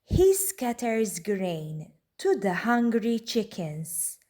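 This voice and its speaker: A woman reading a children's storybook aloud in a deliberate, expressive way. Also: mid-range voice. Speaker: children's book reader. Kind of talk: read-aloud storybook narration.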